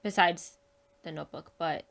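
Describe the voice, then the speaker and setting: A woman's voice: on the low side, fairly even intonation, normal speed, gravelly, a bit bright. A woman chatting casually and informally as a podcast guest, often saying "like". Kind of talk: casual chat.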